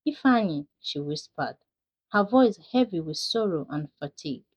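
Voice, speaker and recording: A woman's voice: low voice, expressive, normal speed, breathy edge. A woman storyteller reading a scripted folktale narration. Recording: dull, lo-fi sound.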